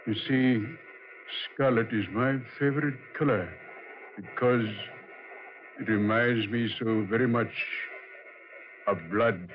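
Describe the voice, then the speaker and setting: An actor playing a sinister, fastidious man with a deliberate, menacing manner in 1940s radio style. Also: medium pitch, steady tone, over music, close to an everyday voice. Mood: sinister calm. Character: sinister, fastidious male customer with a taste for blood. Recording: dull, muffled 1940s radio recording.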